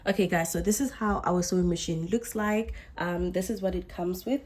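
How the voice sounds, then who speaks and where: A woman's voice: on the low side, steady tone, moderate pace, a little mellow. A young woman hosting a casual sewing tutorial, chatty and correcting herself, a little unwell with a coming flu. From South Africa. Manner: chatty, self-correcting explainer. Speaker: sewing channel host.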